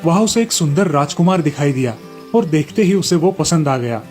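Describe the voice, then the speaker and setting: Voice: medium pitch, lively intonation, moderate pace, a little mellow, faint music behind. A narrator reading a scripted children's story in a storybook manner.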